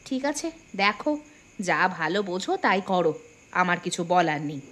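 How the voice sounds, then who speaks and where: A woman's voice: lowish voice, very animated, moderate pace, a bit bright, slight background noise. A woman voicing a suspicious, sarcastic farmer's wife in a moral-story cartoon. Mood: grudging. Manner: sarcastic, insistent. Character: suspicious, sharp-tongued village farmer's wife. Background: music.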